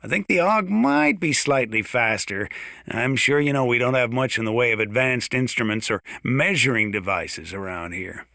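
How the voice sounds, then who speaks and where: Bright-voiced folksy sheriff. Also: male voice, mid-range voice, expressive, moderate pace, vocal fry, no room sound, studio quality. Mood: chatty, matter-of-fact. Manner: folksy, chuckling, boastful, conversational. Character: folksy gun-loving small-town sheriff chatting to outsiders. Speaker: sheriff radio presenter. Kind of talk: scripted game radio monologue, gun talk.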